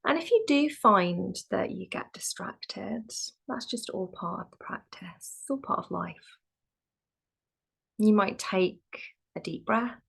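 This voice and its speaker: A woman teaching yoga nidra, guiding a relaxation meditation in a gentle, soothing, soft and sometimes breathy voice with long pauses. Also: medium pitch, expressive.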